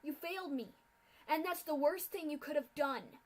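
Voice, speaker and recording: A bitter adult pegasus mare lashes out in seething fury, as a woman reading a dark story acts her out. Her voice sits high and climbs into shouted hate. She is cold and unforgiving. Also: normal speed. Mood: bitter, furious. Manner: screaming in rage, then cold and flat.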